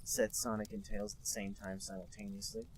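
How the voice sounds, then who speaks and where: Man reading a fanfic deadpan. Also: lowish voice, fairly quick, over a noisy crowd. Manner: deadpan mock-serious reading. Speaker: podcast co-host reading a fanfic. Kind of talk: comic dramatic reading.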